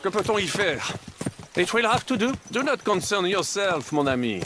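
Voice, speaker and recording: Flamboyant French knight, lively swooping delivery. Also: male voice, sits high, moderate pace, music in the background. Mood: grand, exuberant. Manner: theatrical, pompous, peppers speech with French. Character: flamboyant boastful French knight adventurer, older man. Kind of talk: video game dramatic acting.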